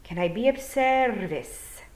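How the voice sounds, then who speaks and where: Lady doing an old pixie bard guy for storytime, slow n polite, rolls his r's, wistful, echoey room. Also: mid-range voice, expressive, a little mellow, pitched above the performer's own voice. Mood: courteous. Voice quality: rolled R's. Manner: clear, slow, polite, formal.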